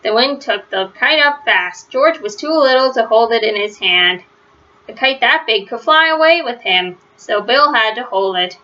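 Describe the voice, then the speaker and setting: Female storybook reader for children: fairly high, bright, expressive delivery, slightly muffled lo-fi sound. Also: normal speed. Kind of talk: read-aloud children's story narration. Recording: dull, lo-fi sound.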